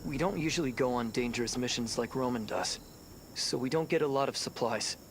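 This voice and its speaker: A woman's voice: rumbling low, fairly quick, slight background noise. A woman voicing a modest female shelter helper who speaks earnestly.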